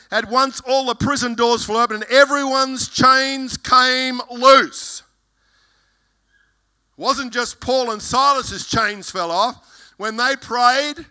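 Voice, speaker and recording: A man preaching a fervent sermon as pastor, with a loud voice, reading scripture verses aloud. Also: very high-pitched, clear, resonant tone.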